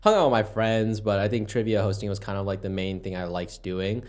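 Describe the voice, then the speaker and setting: A man's voice: on the low side, brisk, darkish tone. A young American man, a comedian guest, chatting about his life in an easygoing, rambling way. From United States. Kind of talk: casual podcast chat. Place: podcast studio.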